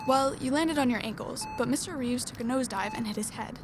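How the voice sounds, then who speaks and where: A woman acting in an audio drama as a matter-of-fact hospital caregiver, calmly explaining things to a patient. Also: sits high, brisk, over music, natural voice. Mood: calm, reassuring. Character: matter-of-fact female hospital nurse or doctor. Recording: noisy background ambience.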